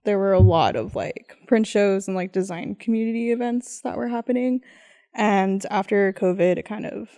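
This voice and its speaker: A woman speaks in a warm, dark tone with a gravelly edge. She wanders through her point casually, adding fillers as she goes. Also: medium pitch. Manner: rambling, many fillers. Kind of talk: casual chat.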